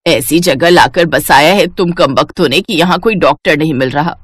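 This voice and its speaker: A woman's voice: deep voice, moderate pace, slightly rough, voice pushed down. A woman voicing a cranky older mother-in-law in a cartoon story, wailing, scolding and complaining. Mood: angry, blaming. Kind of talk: cartoon moral story acting.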